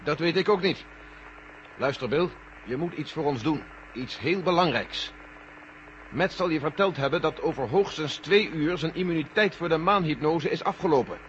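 An actor playing an authoritative general running a space mission in a vintage science-fiction radio drama, speaking deliberately. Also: male voice, fairly high pitch, animated, a bit bright. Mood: commanding, urgent. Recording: noisy, dull old radio recording.

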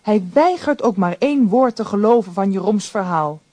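A man narrating a children's radio play in a steady, plain, scripted way. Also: high-pitched, moderate pace, no echo.

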